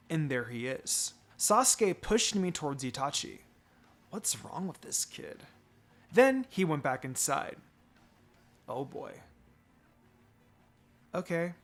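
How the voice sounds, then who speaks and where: A man's voice: on the high side, very animated, slow pace, pauses often, a bit bright. A man reading fan fiction aloud as dramatic first-person narration.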